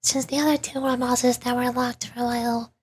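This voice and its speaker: A woman's voice: a little high, little pitch movement, brisk, a little mellow, breathy edge. A woman livestreaming a video game, commenting casually and thinking aloud as she plays. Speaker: streamer, VTuber.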